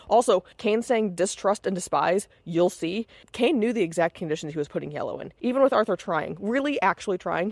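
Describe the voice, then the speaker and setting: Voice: medium pitch, no room sound. A person delivering fan commentary read aloud, in a dramatic, insistent and emphatic manner.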